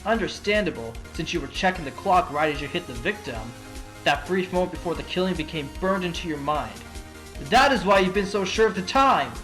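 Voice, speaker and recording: A man's voice: sits high, expressive, over music. A man voicing an earnest, determined fox defense attorney in a courtroom audio drama, arguing with emphasis. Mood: accusing. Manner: emphatic, argumentative, dramatic pauses.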